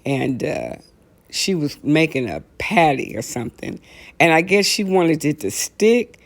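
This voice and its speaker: A middle-aged American woman hosting a casual talk show, telling stories in a blunt, animated, colloquial way and repeating phrases for emphasis. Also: low-pitched, unhurried. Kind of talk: casual comedic rant with storytelling.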